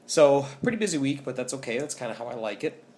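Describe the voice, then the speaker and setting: A young man in his twenties with a scratchy, hoarse voice, talking casually in a rambling vlog update with many ums. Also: medium pitch, animated, quick pace, a little mellow. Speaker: vlogger.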